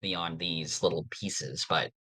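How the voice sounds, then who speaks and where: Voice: low voice, steady tone, slow pace, pushed and bright, breathy edge. A podcast host talking casually through fan theories in an earnest, nerdy, somewhat hesitant way.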